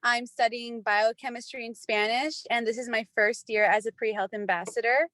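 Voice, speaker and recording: A woman around twenty introduces herself informally as a student ambassador. Her voice is fairly high. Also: fairly even intonation, moderate pace, a bit bright, dry recording.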